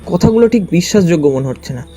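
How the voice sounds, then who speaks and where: A man reading a first-person scripted story as its narrator, with expressive storytelling delivery. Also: sits high, quick pace, soft background music. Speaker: narrator and detective's companion.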